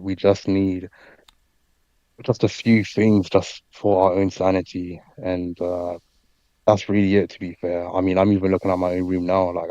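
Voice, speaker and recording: A man who is a guest on a casual online chat, talking in a laid-back, halting way over a dull lo-fi mic. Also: low voice, warm tone, no room sound.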